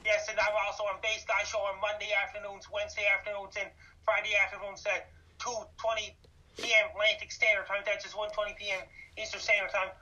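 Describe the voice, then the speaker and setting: A man in his thirties from Canada talks in an even, monotone way with a bright, forceful edge. He repeats facts and dates as he lists them. He comes through from a distance on a dull, muffled line. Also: high voice, a little fast. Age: 30s. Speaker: guest, YouTuber. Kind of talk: interview answers.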